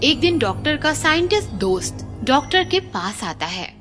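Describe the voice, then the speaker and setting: Female storyteller for animated horror: fairly high, lively intonation, scripted dramatic narration over loud music. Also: normal speed.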